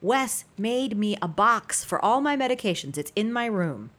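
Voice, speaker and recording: A woman's voice: medium pitch, lively intonation, moderate pace, a bit bright, lightly acted. An actress playing an eccentric, wry small-town mother in her sixties, chatty, playful and evasive in a natural audio drama. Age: 60s.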